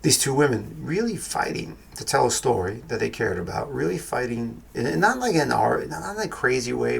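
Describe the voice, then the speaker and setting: A man's voice: mid-range voice, gravelly, slight background noise. A man with a New York accent giving a casual, rambling film review on his podcast, thinking aloud with frequent restarts. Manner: rambling, thoughtful, many restarts and fillers.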